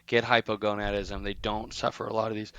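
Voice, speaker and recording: A young man co-hosting a fitness podcast, talking in a relaxed, frank way and swearing now and then. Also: lowish voice, fairly quick, clean tone, highs cut off. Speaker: podcast co-host. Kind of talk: casual chat.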